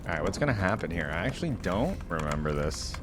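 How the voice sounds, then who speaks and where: A man's voice: sits low, sing-song, fast talker. A man hosting a reaction video, commenting casually as he watches.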